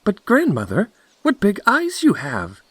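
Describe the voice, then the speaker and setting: A man's voice: high-pitched, wildly swooping pitch, a little slow, fairly bright tone, breathy edge, no room sound, pitched above the performer's own voice, heavily acted. A man voicing an innocent, polite little girl in a storybook tale, earnest and wide-eyed. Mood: wondering, alarmed. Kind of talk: storybook character voice.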